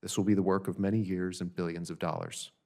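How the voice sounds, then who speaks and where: A man reading a prepared formal statement as an expert witness at a government hearing, in a measured, matter-of-fact way. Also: low voice, little pitch movement, dark tone. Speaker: government audit director testifying as a witness. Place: legislative hearing room.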